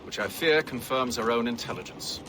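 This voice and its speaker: A man playing a stern, commanding gentleman leader in a video game, formal, measured and authoritative. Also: medium pitch, noisy background, close to an everyday voice. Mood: grave. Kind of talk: video game cutscene acting.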